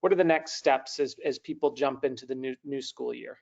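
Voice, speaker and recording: A man's voice: medium pitch, brisk, creaky, darkish tone. A man in his thirties hosting a webinar on a video call, upbeat and rambling with frequent restarts. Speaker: webinar host. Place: home room with brick wall, indoors. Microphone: video call, laptop mic. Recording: dull lo-fi video call audio.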